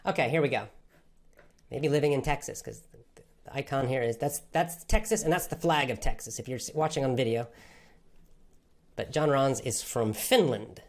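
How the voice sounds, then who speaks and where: A man's voice: medium pitch, normal speed, pauses often. A man who teaches English, answering viewers' questions in an enthusiastic, motivational way. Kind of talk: live Q&A with viewers.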